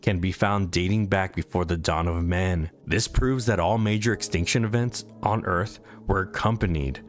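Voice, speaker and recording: A man's voice: low voice, steady tone, normal speed. A man reading a scripted story recap as a narrator.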